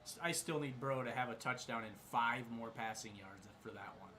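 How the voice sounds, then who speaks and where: A man's voice: medium pitch, a little fast, sharp tone, slight background noise. A man joining as co-commentator in casual chat about a football game, reacting with disbelief to calls.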